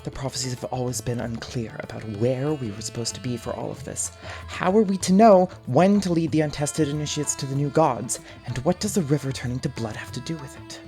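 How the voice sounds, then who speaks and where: A woman's voice: a bass voice, a little fast, hardly any pauses, warm tone, soft background music. A woman playing an impatient, skeptical temple vizier in a fantasy audio drama, wry and questioning.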